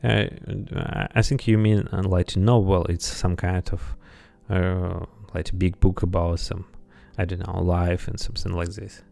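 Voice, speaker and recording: Host: russian-speaking man, low voice, halting english, soft music behind. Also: level tone, vocal fry. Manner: hesitant, many fillers and restarts. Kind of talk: casual chat.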